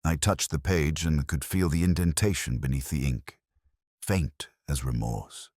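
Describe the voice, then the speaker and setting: Very deep-voiced brooding detective played by a man. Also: a little mellow, dry recording, clean studio sound, heavily acted. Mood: brooding, reflective. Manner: measured, reflective, solemn. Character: brooding, literary, melancholy male police detective recounting a case. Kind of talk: scripted first-person audio mystery narration.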